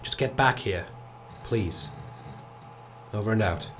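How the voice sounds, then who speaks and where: A man's voice: fairly low pitch, music in the background. A man playing a weary, strained mission commander in a science fiction audio drama, terse and authoritative. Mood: tense, controlled. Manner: terse, authoritative, halting when reflective.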